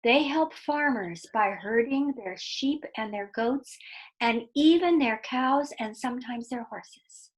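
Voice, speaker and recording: Woman, high-pitched, slow and deliberate, children's storyteller, explanatory read-aloud. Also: rough recording. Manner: deliberate, explanatory, addressing young listeners.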